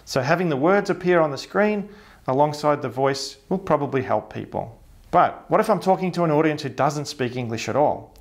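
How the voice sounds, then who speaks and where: A man in his thirties with a broad Australian accent presents a tech tutorial to camera. His voice sits a touch higher than most men's, and his delivery stays upbeat and explanatory. He sounds like he is reading from a script in an indoor studio. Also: lively intonation, brisk. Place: indoor studio with a blurred office backdrop.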